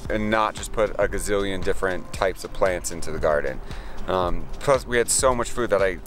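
A man's voice: lowish voice, fairly bright tone. An American man in his thirties chatting casually to camera in an easygoing, rambling way as a gardening vlogger. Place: outdoors in a garden.

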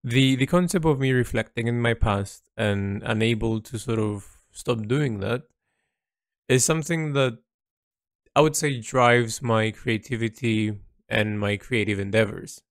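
Man, creaky voice, reflective monologue, halting delivery, searching for words, dry close sound. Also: mid-range voice, no echo. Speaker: artist narrating an audio log.

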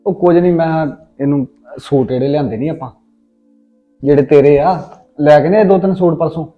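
A man playing a fast-talking fond older brother. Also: fairly high pitch, phone-quality sound, faint music behind, natural voice. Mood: earnest. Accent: Punjabi. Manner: fond, teasing reassurance. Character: indulgent older brother, fond, mildly exasperated. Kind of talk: comic family skit acting.

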